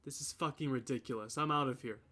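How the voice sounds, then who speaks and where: A man acting in an audio drama as a guilty young boyfriend, halting and defensive as he pleads. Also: mid-range voice, sharp tone. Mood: frustrated.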